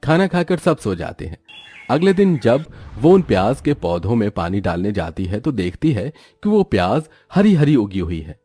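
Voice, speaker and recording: A man's voice: on the low side, big pitch swings, moderate pace, warm tone, husky, no room sound, soft background music. A man narrating a scripted cartoon moral story as its storyteller.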